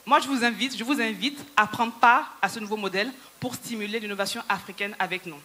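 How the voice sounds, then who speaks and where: A woman's voice: medium pitch, fairly quick, pushed and bright, husky. A woman in her thirties, Yoruba her first language, giving a rallying stage talk to a conference audience on a handheld microphone in a large hall. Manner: persuasive, rallying, addresses the audience directly. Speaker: entrepreneur and speaker.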